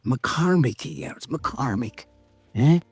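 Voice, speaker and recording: Husky, wheedling American convict. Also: male voice, medium pitch, expressive, takes it slowly, a little mellow, no echo. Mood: confiding. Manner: rambling, slangy, repeats himself urgently. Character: ailing convict informant, wheedling, excitable, streetwise. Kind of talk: 1950s radio drama acting. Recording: vintage radio recording with music.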